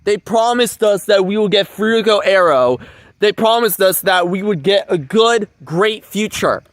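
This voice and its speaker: A man's voice: sits high, expressive, a little slow, no echo. A man giving a rambling, informal monologue to viewers, repeating his phrases for emphasis. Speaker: vlogger.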